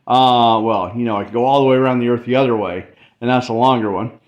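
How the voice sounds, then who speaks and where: A man's voice: mid-range voice, fairly even intonation, fast. A man giving an informal tutorial walkthrough, thinking aloud as he explains a demonstration. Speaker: explainer presenting a math and radio demo. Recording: dull lo-fi mic with faint background noise.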